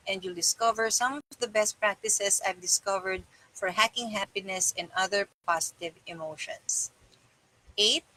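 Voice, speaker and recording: A middle-aged woman reading and presenting a self-help book to camera in a deliberate, measured way, recorded indoors on a device mic. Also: medium pitch, sharp tone, rough recording. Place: small plain-walled room indoors.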